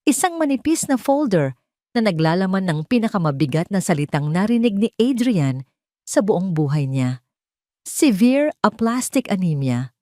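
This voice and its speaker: A woman's voice: medium pitch, very animated, normal speed, warm tone, dry recording, clean studio sound. A woman with a Filipino accent narrating a scripted dramatic story.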